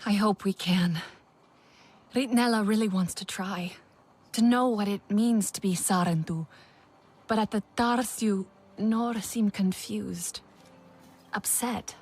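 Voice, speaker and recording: A woman's voice: medium pitch, slow pace, pauses often, a little mellow, husky. An actress playing an earnest, searching young alien woman in a video game, with a questioning manner. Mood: wistful. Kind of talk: dramatic video game acting.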